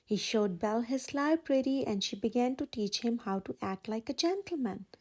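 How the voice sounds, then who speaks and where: A woman with a breathy voice reading a children's story aloud in an expressive way. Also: on the high side, normal speed. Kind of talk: expressive scripted read-aloud narration for children.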